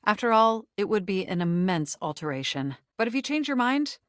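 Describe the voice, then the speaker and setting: A woman's voice: medium pitch, sing-song, moderate pace, fairly bright tone, dry recording, studio quality. A woman voicing a sentient home computer in a dating video game, nerdy and romantic, with flirtatious, theatrical delivery and formal phrasing. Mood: polite, measured. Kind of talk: video game character voice acting.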